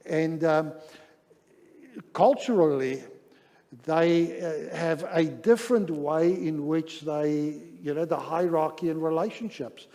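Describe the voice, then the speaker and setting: A male pastor with a South African accent speaks English as an additional language in a mellow, fairly high voice. He presents in a measured sermon style, pausing between phrases. His words ring through a reverberant hall. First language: not English, which is a third language. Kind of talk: sermon-style relationship seminar talk. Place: large church hall, speaking to an audience. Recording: reverberant hall, long echo.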